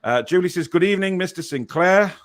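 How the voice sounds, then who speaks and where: A man's voice: high-pitched, expressive, a little fast. A man hosting a live football fan show, chatting casually and reading out viewer comments.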